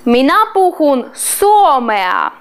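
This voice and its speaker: A woman in her thirties teaching a language class in a small classroom, slowly and clearly drawing out foreign words. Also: high-pitched, very animated. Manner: slow, clearly drawn-out pronunciation of foreign words.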